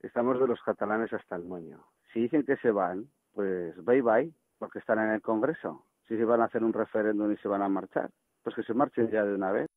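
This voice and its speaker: A man's voice: fairly low pitch, brisk, dry recording. A man calling a radio show over a thin phone line with a blunt, fed-up complaint. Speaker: radio listener caller.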